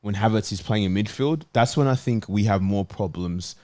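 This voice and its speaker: A man's voice: fairly low pitch, moderate pace, mellow, no echo, studio quality. A man talking casually as a fan panelist in a football discussion. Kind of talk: casual chat, football debate.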